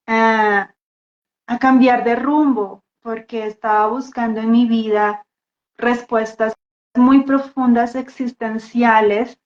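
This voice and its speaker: Colombian lady from bogotá chatting casually, highish voice, kinda halting, echoey muffled audio. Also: a little mellow. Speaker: guest dance therapist. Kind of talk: casual personal introduction in a live talk.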